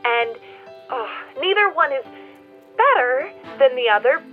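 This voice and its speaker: A slightly muffled recording with faint music holds a young woman's voice, slow and heartfelt. She is a torn small-town dreamer in a radio play, halting as she yearns for more. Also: high voice, animated. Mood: torn, yearning. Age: young adult.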